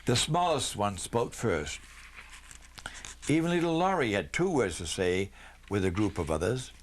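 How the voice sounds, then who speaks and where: A man's voice: fairly low pitch, animated, a little slow, slight background noise. Man reading a children's Christmas story aloud. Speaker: storybook reader.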